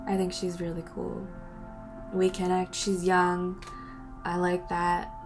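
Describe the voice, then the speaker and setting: A woman's voice: on the low side, completely flat delivery, slow pace, over music. A young woman answering viewer questions in a rambling, casual chat, breaking off mid-sentence and laughing between thoughts.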